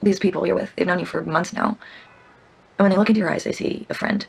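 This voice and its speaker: A woman playing a probing, candid woman in an intimate screen drama, with a husky, breathy voice. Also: on the low side, steady tone, fast, heavily acted. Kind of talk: naturalistic screen acting.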